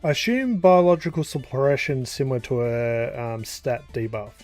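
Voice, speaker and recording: A man casually commenting as he plays through a game, thinking aloud with pauses and fillers. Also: medium pitch, darkish tone, faint music behind. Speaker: gaming YouTuber. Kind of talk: casual let's-play commentary.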